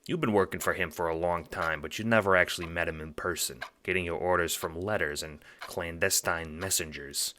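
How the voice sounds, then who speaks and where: A man's voice: sits low, a little fast, hardly any pauses, sharp tone, slight background noise. A man reading scripted second-person narration for an audio drama, as its narrator.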